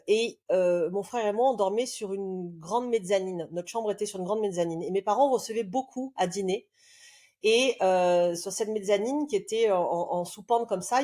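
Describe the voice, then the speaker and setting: Warm-toned woman in her forties, podcast guest, reflective and earnest, halting storyteller. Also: medium pitch. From southern France. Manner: reflective, earnest, many hesitations. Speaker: love coach guest. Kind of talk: podcast interview, personal storytelling.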